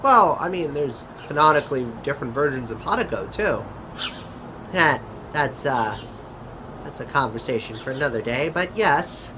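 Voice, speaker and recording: A man acting a chatty interdimensional adventurer in a conversational audio drama, with a halting, hesitant delivery. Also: medium pitch, animated, background noise, lightly acted. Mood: casual.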